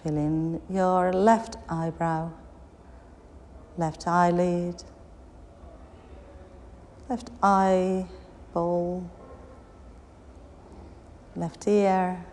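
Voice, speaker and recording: A woman guiding a yoga nidra meditation, giving soothing, unhurried instructions with long pauses between short phrases. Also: fairly low pitch, flat delivery, darkish tone. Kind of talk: guided meditation. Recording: long reverberant hall, background noise.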